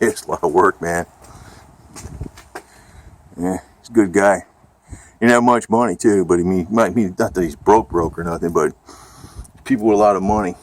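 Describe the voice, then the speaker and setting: An American man chatting casually in a rambling homemade vlog, informal and salty in a matter-of-fact way. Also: deep voice. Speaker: amateur video blogger. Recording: noisy amateur recording, vehicle ambience.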